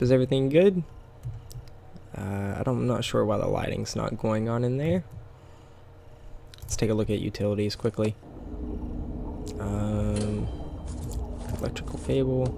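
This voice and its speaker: Gravelly man with a scratchy, tired voice. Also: lowish voice, warm tone. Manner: rambling, with many fillers and small laughs. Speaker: gameplay commentator. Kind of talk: casual game commentary.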